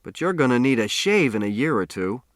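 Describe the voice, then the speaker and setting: A man's voice: mid-range voice, big pitch swings, fairly quick, no room sound, studio quality, natural voice. A man voicing a jovial, teasing grown friend in an audiobook, with a hearty, theatrical manner. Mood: cheerful.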